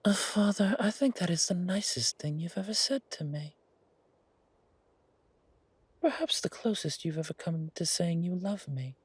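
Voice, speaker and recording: A man voicing a young predatory creature, a vampire's devoted son, teasing and polite in a breathy half-whisper for a horror audio drama. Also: sits high, animated, unhurried, pauses often, no echo, voice pushed up, exaggerated voice. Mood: bashful.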